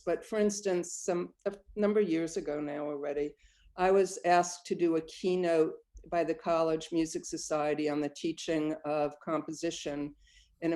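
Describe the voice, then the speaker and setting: An older woman composer answering interview questions thoughtfully, often pausing with ums as she searches for words. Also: sits low, dark tone. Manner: reflective, searching, with frequent ums.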